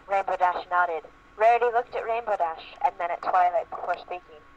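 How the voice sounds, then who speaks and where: A woman's voice: lowish voice, fairly quick. A woman narrating fan fiction as a comedic dramatic reading, voicing bits of dialogue along the way. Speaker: reader. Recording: lo-fi radio-like sound, faint noise.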